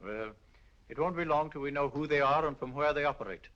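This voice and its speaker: A man playing a brisk police commissioner. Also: on the high side, fairly bright tone, natural voice. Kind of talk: 1940s film dialogue. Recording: dull old film soundtrack with hiss.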